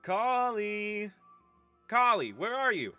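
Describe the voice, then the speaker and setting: An exasperated, sarcastic young man played by a male actor in an audio drama, leading a band of misfits. His voice is fairly high and bright, theatrical in delivery, with music underneath. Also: very animated, slow, smooth, heavily acted. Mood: nervous, singsong.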